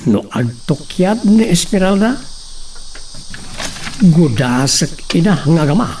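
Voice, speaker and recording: A man acting a scheming, obsequious henchman in Ilocano-accented radio drama, with a creaky voice and a distant, noisy recording. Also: a little high, big pitch swings, slow pace, a little mellow. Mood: sly, muttering. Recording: distant mic, faint noise and insects.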